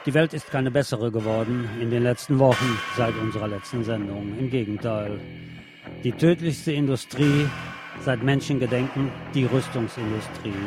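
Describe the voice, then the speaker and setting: Give me a male radio presenter reading a scripted news commentary. He speaks formally and pointedly, as a critic would, at a middling pitch. Music plays clearly behind his voice. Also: normal speed. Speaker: radio host.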